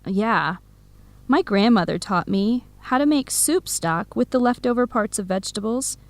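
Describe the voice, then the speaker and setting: An outspoken, supportive young woman in an educational radio drama. She speaks clearly and in measured phrases, with a moderately high pitch. The sound is very dry, with no room around it. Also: lively intonation, no echo. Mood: explaining. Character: supportive, outspoken young American woman friend and eco volunteer.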